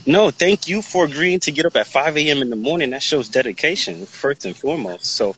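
A man's voice: on the high side, animated, fairly quick, fairly bright tone, a little hiss or hum, low-quality recording. A man in his forties hosting a live video call chat, upbeat and laughing often, talking into a phone held at arm's length outdoors. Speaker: host. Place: outdoors in a sunny yard.

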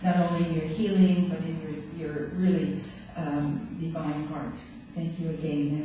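A woman's voice: fairly low pitch, mellow. Heartfelt woman speaker in echoey hall. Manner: heartfelt, talks with her hands, laughs easily. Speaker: guest speaker, medium. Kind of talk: spiritual service address. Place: hall stage with curtains. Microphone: podium mic, far camera. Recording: echoey hall from far off, faint noise.

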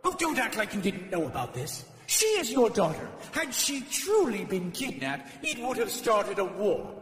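A man's voice: high voice, wildly swooping pitch, moderate pace, a bit bright, pitched above the performer's own voice, exaggerated voice. A man voicing an indignant, accusing Greek goddess in a dramatized children's myth. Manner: accusing, exasperated. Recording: echoey reverberant voice effect.

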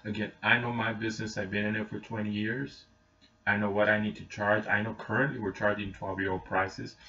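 A man's voice: on the low side, flat delivery, brisk, pushed and bright. A middle-aged man giving an informal, plain-spoken explanation in a matter-of-fact way. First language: Spanish is not his natural language; English speaker. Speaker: taxi driver explaining his service. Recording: muffled webcam mic, distant.

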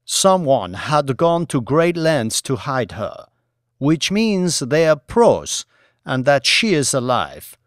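A man voicing a terse, businesslike male investigator in an audiobook, measured and matter-of-fact. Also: on the high side, very animated, dry recording, clean studio sound, pitched above the performer's own voice.

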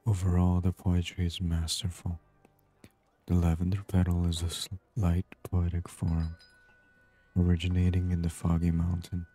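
A man doing soft-spoken ASMR game commentary, murmuring and unhurried as he reads aloud. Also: sits low, monotone, faint music behind. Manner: murmured, unhurried, reading on-screen text aloud. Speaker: gaming channel host.

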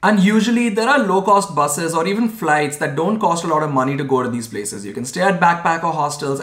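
A young man in a talking-head vlog, clearly and earnestly walking through his points one by one, recorded indoors in front of a camera. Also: fairly high pitch, fairly bright tone, echoey room. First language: English. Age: young adult. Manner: clear, earnest explainer, lists points in order. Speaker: vlogger. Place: small indoor room by a window. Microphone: camera framed at chest level.

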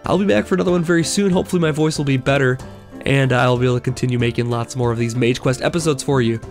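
A man's voice: mid-range voice, racing, darkish tone, faint music behind. A man hosting a gaming video, talking casually through his play while getting over a cold, thinking aloud with lots of fillers. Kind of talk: casual let's play commentary.

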